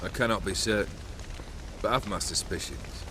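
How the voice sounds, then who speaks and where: A man's voice: on the low side, normal speed, background noise, natural voice. An actor playing a proud, world-weary immortal nobleman in a video game, sardonic and theatrical with formal old-fashioned phrasing. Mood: philosophical, brooding.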